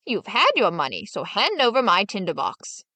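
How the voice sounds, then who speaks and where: A woman's voice: medium pitch, huge pitch swings, normal speed, sharp tone, no echo, full character voice. A woman voicing a sly, bossy old witch in a storybook, coaxing and instructing, curt at times. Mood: curt, defiant.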